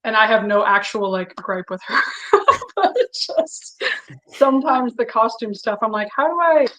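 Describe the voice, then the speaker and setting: A woman's voice: fairly high pitch, big pitch swings, unhurried, a bit bright, rough recording. A young woman singer as an interview guest, talking openly in casual chat and laughing often. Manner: open, chatty, laughs often.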